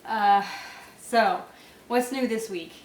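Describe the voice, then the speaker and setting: A young woman talks casually to her viewers, her voice stuffy and nasal from a head cold. She fills her sentences with ums and soft laughs. The sound is echoey, and she sits a little back from the mic. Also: mid-range voice, takes it slowly, far from the mic, slight background noise. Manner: chatty, many fillers and small laughs. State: congested, nasal, sore throat. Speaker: vlogger. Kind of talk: casual pregnancy update vlog.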